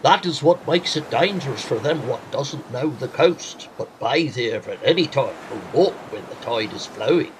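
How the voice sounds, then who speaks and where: An actor playing a talkative, superstitious coastal villager with rustic, deferential phrasing in an audio drama. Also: male voice, mid-range voice, lively intonation, normal speed, few pauses, slight background noise, lightly acted, poor audio. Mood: polite, curious.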